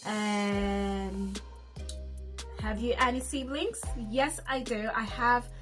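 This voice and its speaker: A woman's voice: fairly high pitch, fairly even intonation, slow, a bit bright, faint music behind. A woman, chatting casually in a get-to-know-me vlog as she reads each question aloud and answers it. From Zimbabwe. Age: 30s. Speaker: vlogger.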